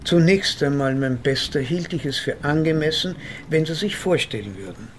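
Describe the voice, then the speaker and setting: A man's voice: fairly high pitch, lively intonation, moderate pace, slight background noise, lightly acted. An actor playing a self-assured, pompous male psychotherapist in a German radio play, speaking in elaborate, theatrical phrases. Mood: formal. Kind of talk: German radio play, dialogue and first-person narration.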